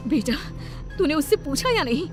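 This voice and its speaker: A woman playing an anxious, doting grandmother in an animated family drama. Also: high-pitched, moderate pace, over music. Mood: worried. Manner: anxious, soothing, evasive. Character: worried, doting grandmother and mother-in-law. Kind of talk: animated family story acting.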